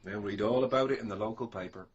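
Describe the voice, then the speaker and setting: A man's voice: lowish voice, quick pace, close to an everyday voice. Man playing blunt official, formal, scornful, disapproving, dull sound, faint noise, radio drama.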